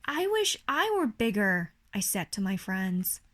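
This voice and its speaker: A woman with a Chinese accent reads a children's picture book aloud expressively, as a parent would to a young child. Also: mid-range voice. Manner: slow, animated storytelling for a young child. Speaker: parent reading a bedtime story to a child.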